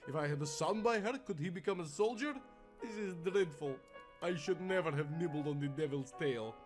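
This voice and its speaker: A man's voice: fairly high pitch, moderate pace, music in the background, pitched above the performer's own voice, close to an everyday voice. A man voicing a pompous, overwrought soldier in a storybook tale, formal and indignant. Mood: fretful. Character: pompous, easily panicked male soldier, a lieutenant.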